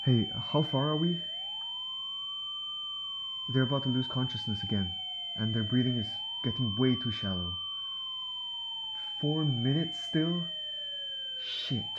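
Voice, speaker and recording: A man playing a gentle, reassuring ambulance medic in an ASMR comfort roleplay, speaking in a soothing, coaxing, attentive way. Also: mid-range voice, expressive, unhurried, pauses often, muffled recording, heavy background noise, lightly acted. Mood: tense, urgent. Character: gentle, reassuring male ambulance medic tending an injured patient.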